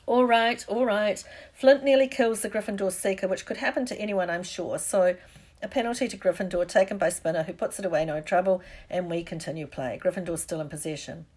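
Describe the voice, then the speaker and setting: A woman voicing an excitable, cheeky, biased schoolboy sports commentator in an audiobook, with clipped, emphatic delivery. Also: on the low side, quick pace, breathy edge. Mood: indignant, cheeky.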